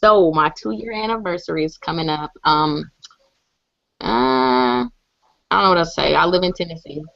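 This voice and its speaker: A woman's voice: sits low, normal speed, creaky, fairly bright tone, no echo. Woman on a dry, muffled call. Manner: short, casual, a little unsure. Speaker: senior sales team leader.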